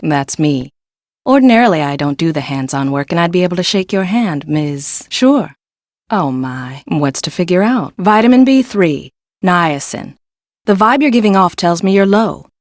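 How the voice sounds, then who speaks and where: An actress voices a confident, quirky woman engineer for an adventure game. Her voice sits low and is recorded close and dry. She speaks articulately, with a wry, matter-of-fact edge and a new-age streak. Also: no echo, lightly acted. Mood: breezy, confident. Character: self-assured, quirky new-age woman engineer, park maintenance supervisor. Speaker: video game voice actor. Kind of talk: scripted adventure game dialogue.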